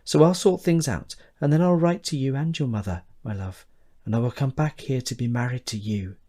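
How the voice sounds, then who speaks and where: An actor playing an ardent, gentle young man in a period audio drama, speaking earnestly and tenderly. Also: mid-range voice, normal speed, close to an everyday voice. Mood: tender, eager. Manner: earnest, tender, old-fashioned phrasing. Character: ardent, romantic young male sculptor, gentle suitor.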